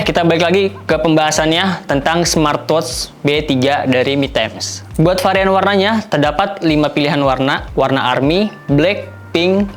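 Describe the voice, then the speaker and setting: A man with a colloquial Jakarta Indonesian accent hosting a product review in an informal, explanatory way. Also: on the high side. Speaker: product reviewer, video host. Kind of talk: casual scripted gadget review.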